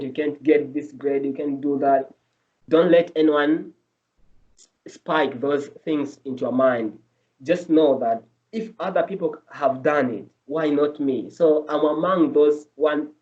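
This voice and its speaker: A man answering interview questions in English, his second language, earnest and repeating himself as he explains. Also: a little high. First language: not English. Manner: earnest, repeats and restarts phrases. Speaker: medical student guest. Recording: dull lo-fi audio.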